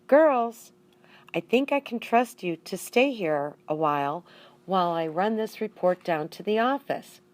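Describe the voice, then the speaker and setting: A woman's voice: mid-range voice, expressive, a little slow, a little mellow, lightly acted. A woman voicing a firm, composed female schoolteacher from a children's storybook. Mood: bright, trusting.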